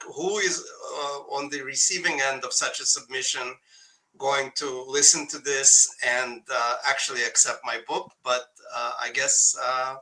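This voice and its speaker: A man's voice: medium pitch, sharp tone, poor audio. A man with a Russian accent, a poet guest, talking casually and hesitantly over a video call, with many fillers and restarts. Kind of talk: casual conversation.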